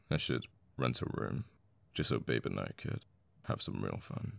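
Very deep, gravelly male assassin played by an actor. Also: animated, no echo, heavily acted. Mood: musing. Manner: unhurried, murmured, sweary, with sighs and pauses. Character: cocky young male assassin, flippant, menacing, secretly a devoted boyfriend. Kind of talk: intimate ASMR audio roleplay.

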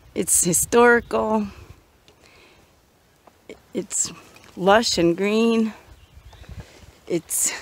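A woman vlogger casually narrating a nature walk outdoors, musing aloud in a halting, unscripted way. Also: medium pitch, creaky. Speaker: vlogger and walking guide. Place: outdoors on a woodland trail. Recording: outdoor noise, slightly muffled at times.

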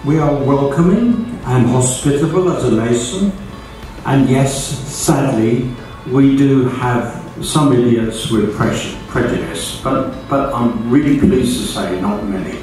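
An older man from the United Kingdom giving a formal speech at a podium in a large school auditorium, reading from notes at a measured pace. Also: medium pitch, darkish tone, soft background music. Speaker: guest speaker. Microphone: podium mic from far camera. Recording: echoey hall, far from mic.

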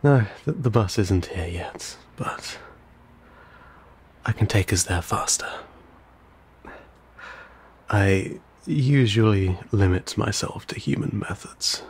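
A man playing a gentle, courteous old vampire in an intimate audio roleplay, speaking formally in hushed, soothing, measured tones. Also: fairly low pitch, a little hiss or hum. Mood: grateful, courteous. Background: ambient sound effects.

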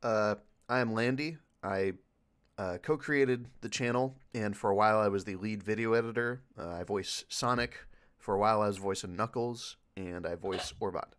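A man's voice: on the low side, normal speed, fairly bright tone. A man speaking casually as a voice actor on a question panel. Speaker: voice actor and channel co-creator on a panel.

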